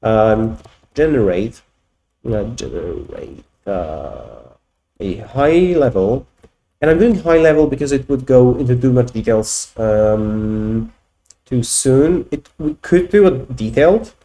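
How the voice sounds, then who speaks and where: Polish man thinking aloud, mellow voice. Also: mid-range voice, lively intonation. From Poland. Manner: halting, many restarts and fillers, thinking aloud. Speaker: tech video host. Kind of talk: casual screen-share walkthrough.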